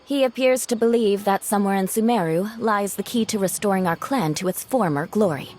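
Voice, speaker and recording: A woman's voice: mid-range voice, a little fast, a little mellow, slight background noise, natural voice. A woman playing a proud, cool, dutiful noblewoman knight in a video game, with a formal, haughty manner. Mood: serious. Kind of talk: video game cutscene acting.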